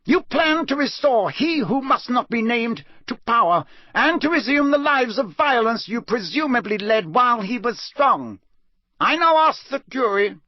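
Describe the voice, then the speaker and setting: A man's voice: very high-pitched, very animated, moderate pace, no echo, voice pushed up. A man voicing a stern, cold older male judge who bellows formal accusations. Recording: dull, slightly muffled.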